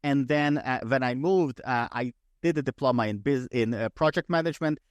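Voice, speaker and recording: A man in his thirties from Ukraine talks in a middle-pitched voice, recorded dry and close. He speaks thoughtfully and conversationally as a podcast guest, often restarting and repeating words as he gathers his thoughts. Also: moderate pace, no room sound, highs cut off. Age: 30s. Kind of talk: conversational interview.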